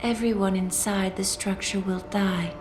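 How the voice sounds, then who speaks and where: A woman playing a calm, poised artificial newsreader in a video game, speaking formally and deliberately. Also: mid-range voice, natural voice. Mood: calm, grave. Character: calm, poised female AI construct, a news reporter. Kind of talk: video game voice acting, measured and portentous. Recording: roomy echo, loud music behind.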